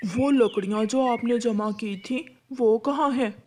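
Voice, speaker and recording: Cartoon father bird, played by a woman: unhurried, soothing, resigned, faint background noise. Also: a little high, a little mellow, close to an everyday voice. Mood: resigned, consoling. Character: calm, resigned father sparrow consoling his wife. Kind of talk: Urdu cartoon voice acting.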